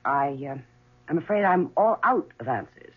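A cool, witty woman in a 1970s radio drama. She is arch and self-possessed, with wide swings of pitch and a husky edge. The broadcast sounds muffled. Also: very animated, moderate pace, heavily acted. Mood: coolly evasive. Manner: arch, evasive.

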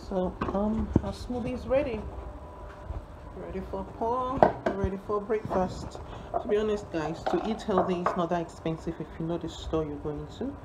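A woman in her thirties chatting casually to her vlog audience in halting, unhurried phrases, recorded on a phone at home. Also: medium pitch. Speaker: vlogger. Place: small indoor rooms at home, kitchen. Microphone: phone at arm's length. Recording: noisy handheld phone recording with room ambience.

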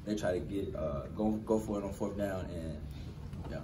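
A young man in his twenties, a college football player answering questions at a post-game press conference, talking quickly in relaxed slang and repeating phrases. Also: low voice. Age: 20s. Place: crowded press room indoors. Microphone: cluster of handheld press mics. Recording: roomy distant press room with noise.

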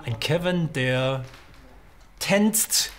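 Ein Mann aus Taiwan, Muttersprache Mandarin, mit taiwanischem Akzent, spricht im Interview in einem kleinen Restaurant zögernd, mit vielen Füllwörtern und Neuansätzen. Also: male voice, a little high, expressive, very slow, a little hiss or hum. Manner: hesitant, many fillers and restarts. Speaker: writer. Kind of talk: documentary interview. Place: small restaurant indoors.

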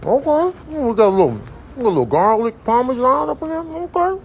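Man as hungry marmot, sassy, pushy, slangy, animated intonation, comic animal voice-over, faint noise. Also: extremely high pitch, quick pace. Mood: eager. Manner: slangy, demanding.

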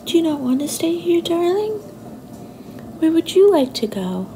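Woman acting young girlfriend, affectionate, a bit possessive, soft whispery ASMR delivery, gravelly creak, noisy ambient recording. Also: very high voice, sing-song, moderate pace, heavily acted. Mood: thoughtful, affectionate. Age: young adult. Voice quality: soft, often breathy and whispery.

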